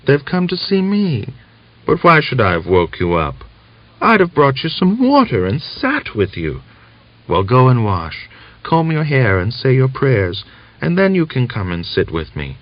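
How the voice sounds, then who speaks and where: A man voicing a gentle ailing young mother. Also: medium pitch, huge pitch swings, a little slow, no echo, voice pushed up. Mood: tender. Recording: dull lo-fi audiobook recording.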